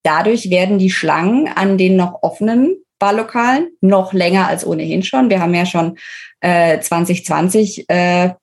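A woman's voice: fairly low pitch, normal speed. A woman with a German accent explains things as an expert interview guest, informal and emphatic, often correcting herself as she thinks aloud.

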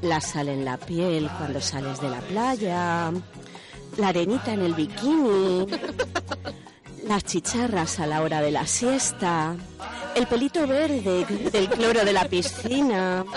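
A radio co-host, a woman with an accent from Spain, talks casually in a slightly low voice. Music plays clearly behind her in the studio. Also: animated, slow.

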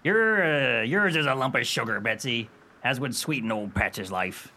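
An actor playing a shabby, lovesick one-eyed pirate with rustic, ungrammatical speech in a comic radio play. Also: male voice, medium pitch, expressive, moderate pace, sharp tone, slight background noise. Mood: lovesick, humble. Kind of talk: stage pirate radio comedy acting.

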